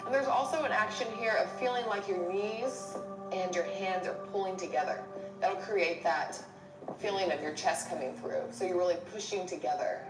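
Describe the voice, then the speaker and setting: Distant, roomy woman yoga instructor, lower-pitched. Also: moderate pace. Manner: instructional, cueing breath and movement in short phrases. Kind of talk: guided yoga class, step-by-step instruction. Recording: roomy distant mic under loud music.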